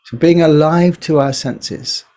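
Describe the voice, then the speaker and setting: Male meditation teacher, low-key body scan guide; very slow pace, gentle delivery, leaves space between phrases. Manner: gentle, unhurried, pausing between short phrases.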